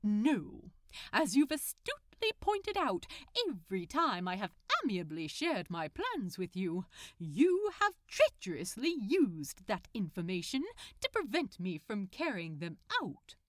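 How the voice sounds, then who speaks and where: A woman putting on a prim, pompous male supervillain, very animated. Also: medium pitch, measured pace, no echo, clean studio sound. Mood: smug, lecturing. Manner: formal, pompous, articulate. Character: prim, nefarious male supervillain, politely smug and fussy. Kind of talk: fan fiction audiobook character voice.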